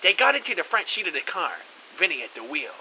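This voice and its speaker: A man's voice: on the high side, big pitch swings, fast talker, bright, forceful tone, heavily acted, poor audio. A man playing a hapless, anxious everyman, narrating in the first person in an earnest, theatrical way for an audio drama. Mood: tense narration. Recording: faint hiss.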